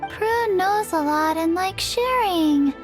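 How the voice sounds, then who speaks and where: A woman voicing a tiny, placid child character in a video game, speaking simply and deadpan. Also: very high-pitched, slow pace, full character voice. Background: background music.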